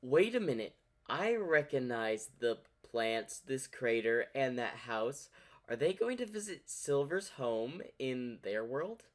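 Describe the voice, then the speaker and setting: A man's voice: medium pitch, big pitch swings, slow pace. Casual man reacting to a fan dub. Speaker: reaction video host.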